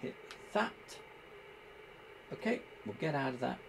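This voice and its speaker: A man giving an informal hands-on tutorial, explaining step by step in a halting, hesitant way. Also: mid-range voice, lively intonation, gravelly, fairly bright tone, a little hiss or hum. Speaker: presenter demonstrating radio equipment.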